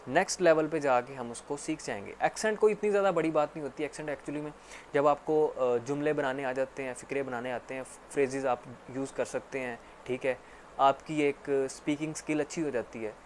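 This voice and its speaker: A man giving a casual spoken English lesson, repeating himself often and filling pauses with "actually". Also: on the high side, slight background noise. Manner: repetitive, filler-heavy explaining with frequent "actually". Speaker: English teacher.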